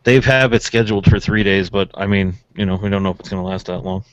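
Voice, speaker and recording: A man's voice: sits low, fairly even intonation, quick pace, no echo. An American man hosting a radio show in casual chat, rambling informally through his stories. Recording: dull, lo-fi audio.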